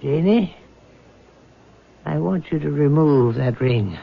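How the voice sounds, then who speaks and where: An actor playing a measured, rational doctor in a 1970s radio drama. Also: male voice, on the high side, lively intonation, creaky, heavily acted. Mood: clinical. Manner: measured, clinical. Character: calm rational male doctor, middle-aged, firm. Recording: dull old radio broadcast with faint hiss.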